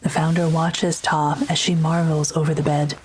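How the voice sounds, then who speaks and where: A woman's voice: deep voice, level tone, moderate pace, darkish tone, low-quality recording. A woman narrating a scripted audio drama in the present tense, matter-of-fact, with ambient noise behind her.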